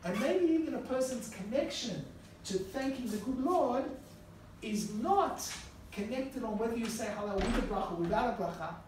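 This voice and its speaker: A middle-aged man teaches a class in a relaxed, storytelling way, turning now and then to speak directly to his students. He is recorded from across the room, so his voice bounces around a very echoey classroom with a faint hiss underneath. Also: very high-pitched, normal speed, recorded from a distance. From Australia. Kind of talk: conversational classroom lecture. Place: classroom with a live audience.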